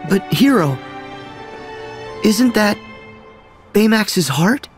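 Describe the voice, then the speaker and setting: A loyal, spirited young hero for a game cutscene. His voice is expressive and ranges widely, slowing for weight, with faint music underneath. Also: high-pitched, exaggerated voice. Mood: concerned. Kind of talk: animated video game cutscene acting.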